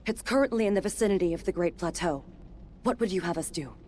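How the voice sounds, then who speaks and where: A woman's voice: fairly low pitch, lively intonation, quick pace, darkish tone, slight background noise, natural voice. A woman playing a dutiful, formal underling reporting to a lord in a video game cutscene. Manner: formal, deferential.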